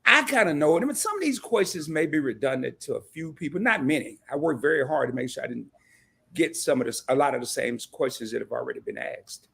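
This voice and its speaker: A man hosting a live-streamed interview in a casual, animated way, laughing often and using relaxed slang. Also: a little high, a little fast, creaky, breathy edge.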